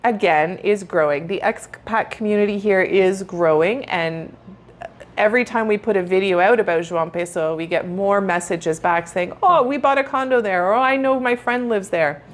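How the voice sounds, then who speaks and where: A woman's voice: mid-range voice, normal speed. A middle-aged woman from Canada, co-hosting a casual travel chat in an upbeat manner, laughing easily. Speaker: co-host.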